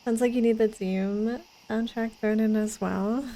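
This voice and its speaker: A woman's voice: fairly high pitch, steady tone, measured pace, mellow, poor audio. A young woman streaming a game, chatting and giggling as she rambles about what she sees. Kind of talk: casual gameplay commentary.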